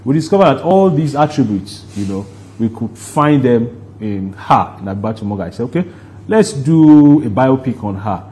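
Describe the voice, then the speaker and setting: A man with a Nigerian accent answering interview questions in a deliberate, halting way, explaining things at length. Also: medium pitch, lively intonation, mellow, a little hiss or hum. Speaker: guest, stage play producer.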